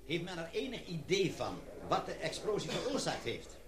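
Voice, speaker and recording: A man's voice: a little high, big pitch swings, normal speed. Levendige, beleefd vorsende man. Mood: inquiring. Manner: polite, inquiring. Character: inquisitive, polite man in an expedition meeting. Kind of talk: radio drama acting. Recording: faint background noise.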